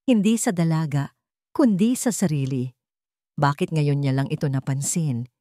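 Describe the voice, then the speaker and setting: A woman narrating a romance story in a dramatic, expressive reading style. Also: sits low, moderate pace, no echo, studio quality.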